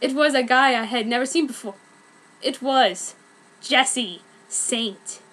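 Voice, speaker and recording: A woman's voice: high voice, slow pace, fairly bright tone. A young woman giving a theatrical, mock-earnest comedic reading of amateur fan fiction.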